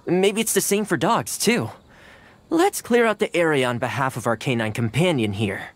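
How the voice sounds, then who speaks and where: A man's voice: fairly high pitch, expressive, moderate pace, close to an everyday voice. An actor playing a friendly, caring, patient young man in a video game, with a casual, coaxing manner. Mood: thoughtful. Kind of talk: video game character dialogue. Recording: music under the voice.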